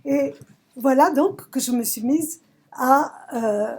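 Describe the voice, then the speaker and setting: A woman from southern Italy, a poet giving a reflective talk about her work, searching for words with emphatic pauses. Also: high-pitched, slightly rough. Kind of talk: reflective talk at a poetry recital. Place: public library event.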